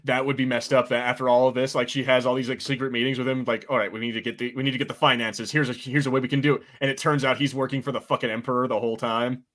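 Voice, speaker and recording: A man's voice: medium pitch, flat delivery, racing, hardly any pauses, fairly bright tone. A man co-hosting a podcast, chatting casually and informally about TV, with some swearing. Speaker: podcast co-host. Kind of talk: casual TV review chat.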